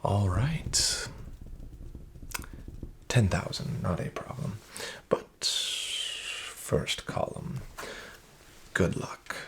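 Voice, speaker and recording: A man in a soft-spoken roleplay as a smooth, flattering casino dealer, often whispering and slyly nudging the listener to bet more. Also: sits low, background noise. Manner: whispery, with much unvoiced soft speech. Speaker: ASMR roleplay creator playing a casino dealer.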